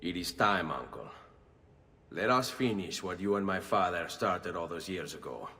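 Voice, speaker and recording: An actor playing a resolute middle-aged Italian assassin in a video game, earnest and measured, with an Italian accent. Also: male voice, low-pitched, animated, bright, forceful tone, slightly rough, heavily acted. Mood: quiet, resolved. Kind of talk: dramatic video game cutscene acting.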